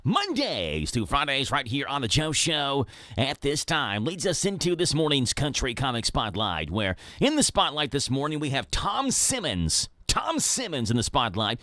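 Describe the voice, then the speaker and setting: A man's voice: medium pitch, huge pitch swings, moderate pace, bright, forceful tone, dry recording, studio quality. A man with a Kentucky accent hosting a morning radio show, reading ads and weather in playful, sing-song patter. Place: radio studio. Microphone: studio mic. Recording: radio broadcast with music bed.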